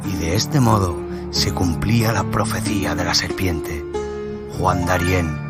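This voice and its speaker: A man narrating a dramatic story in a solemn, grave, scripted storytelling manner. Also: low voice. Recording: loud music under the voice.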